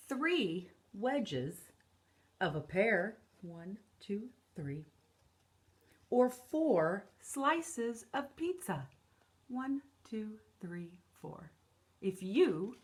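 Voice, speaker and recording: A woman in her thirties, an elementary teacher, reading a picture book aloud as a lesson for children, explaining deliberately and repeating key phrases. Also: mid-range voice. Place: living or dining room at home, indoors.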